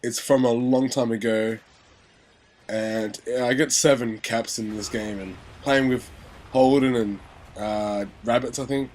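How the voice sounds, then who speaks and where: A man's voice: mid-range voice, steady tone, unhurried, a little mellow. A young man giving casual gaming commentary, rambling with many fillers and restarts, his nose still stuffy and his voice a little sore from illness.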